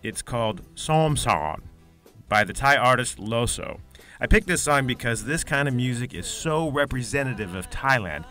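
A man hosting a podcast, reading a measured, scripted show introduction into a close mic. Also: mid-range voice, lively intonation, bright, forceful tone, faint music behind. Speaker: podcast host.

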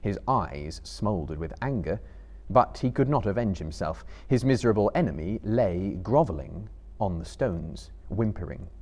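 A man in his thirties reads a novel aloud as a theatrical storyteller. His voice is fairly low and husky, and his deliberate narration rises and falls with lots of animation. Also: lively intonation, a little mellow. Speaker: storyteller, reader. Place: seated in a book-lined room before a live audience.

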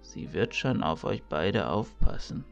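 Man playing a very gravelly old priest mentor. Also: low-pitched, lively intonation, muffled recording, exaggerated voice. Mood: solemn, kind. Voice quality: creaky, gravelly. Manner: slow, measured, ceremonial. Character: kindly old male priest mentor, solemn and caring. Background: music.

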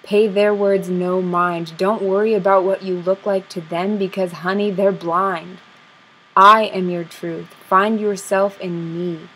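A young woman recites spoken word poetry in a soft, intimate, rhythmic rhyming delivery, recorded on a close webcam in a small dim room. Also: mid-range voice, steady tone, measured pace, breathy edge, low-quality recording. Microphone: webcam at close range.

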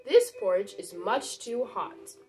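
A woman voicing a curious little girl in a storybook reading, with expressive lines. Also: medium pitch, slow pace, soft background music, low-quality recording. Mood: fussy.

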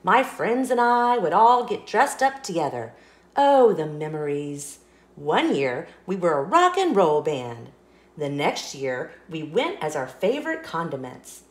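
A woman reading a children's storybook aloud with expressive narration, recorded with roomy echo. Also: sits low, unhurried.

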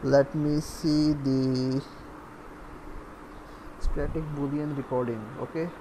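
A man giving a step-by-step screencast programming lesson in a halting way, as if thinking aloud while typing. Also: mid-range voice, flat delivery, noisy background. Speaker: programming tutorial instructor. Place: voice-over recorded over screen capture.

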